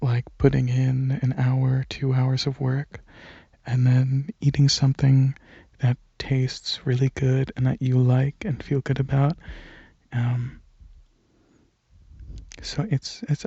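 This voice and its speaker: Young male ASMR rambler: hushed, hesitant, breathy and unhurried, on a dull, close-miked lo-fi recording. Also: mid-range voice, completely flat delivery, no echo. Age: young adult. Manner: soft-spoken, hesitant, drifting into near-whispers. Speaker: ASMR channel creator.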